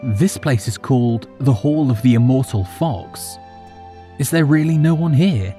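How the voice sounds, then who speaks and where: A man's voice: mid-range voice, lively intonation, moderate pace, mellow, soft background music, voice pushed up, lightly acted. A man doing a polite, curious little monk. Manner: polite, plain-spoken, curious.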